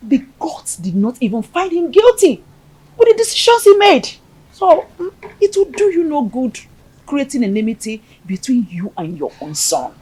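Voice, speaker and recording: A calm, wise royal mother in a film drama, her speech lively in pitch. She pleads earnestly, heard from some distance in an echoey room. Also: moderate pace. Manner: earnest, persuasive.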